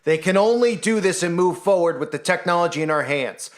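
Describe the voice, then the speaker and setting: Man, slightly high voice, channel host, emphatic opinionated monologue, rhetorical questions. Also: lively intonation, a little fast. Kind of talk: opinionated monologue to his viewers.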